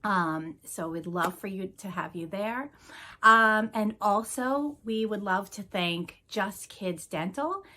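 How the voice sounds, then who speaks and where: A woman's voice: mid-range voice, bright, forceful tone. A woman hosting a children's storytime, talking casually and reading a picture book aloud. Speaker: children's museum staff storytime host.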